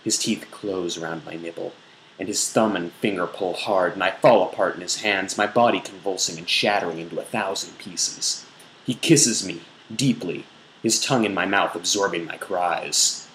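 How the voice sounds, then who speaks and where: Man, lowish voice, theatrical mock-sensual storytelling, roomy echo, faint background noise. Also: moderate pace, fairly bright tone. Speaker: blogger reading aloud. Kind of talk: dramatic reading of an erotic novel.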